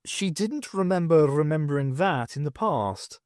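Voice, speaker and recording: A man's voice: fairly high pitch, expressive, normal speed, darkish tone, no echo, clean studio sound. A man reading scripted story narration as an audiobook narrator.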